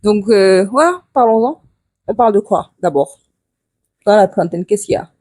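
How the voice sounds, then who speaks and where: A woman, co-hosting a casual podcast chat with her best friend, talking quickly and slipping into English and Wolof. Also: medium pitch, expressive, mellow, dry recording, studio quality. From Senegal. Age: 30s. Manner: quick, chatty, mixing French with English and Wolof. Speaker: podcast co-host.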